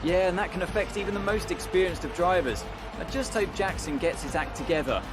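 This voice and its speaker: An actor playing a critical but fair motorsport pundit giving commentary in a video game. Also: male voice, high voice, fairly quick, over music, lightly acted.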